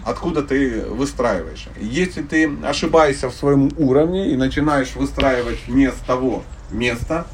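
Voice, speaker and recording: A man's voice: medium pitch, normal speed, few pauses. A man from Ukraine giving an informal religious lecture to a group, conversational and blunt, with slangy wording and humorous illustrations. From Dnipropetrovsk, Ukraine. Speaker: spiritual teacher giving a lecture. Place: live talk to a listening group. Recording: distant microphone, faint background noise.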